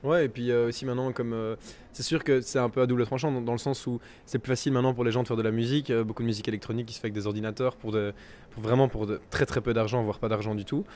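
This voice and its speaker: A young man in his twenties from Belgium talks quickly through his answers in a chatty way. Faint outdoor festival ambience runs behind him. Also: mid-range voice, steady tone, a little mellow, slight background noise. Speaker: musician. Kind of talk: festival interview.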